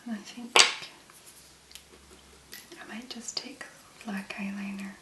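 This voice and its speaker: A woman doing a soft-spoken ASMR demonstration in a gentle, breathy, unhurried murmur with pauses. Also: medium pitch, little pitch movement, reverberant, very noisy. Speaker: ASMR video creator.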